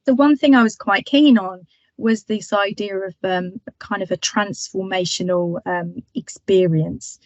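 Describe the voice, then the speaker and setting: A woman lecturer with a husky voice giving a webinar talk, chatty and full of ums and restarts. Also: mid-range voice, unhurried, no echo, muffled recording.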